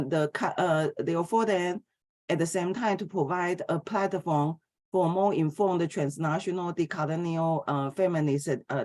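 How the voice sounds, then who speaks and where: A middle-aged woman from Taiwan, giving an academic philosophy lecture in a hesitant way, with many pauses and filler sounds. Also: deep voice, a little mellow.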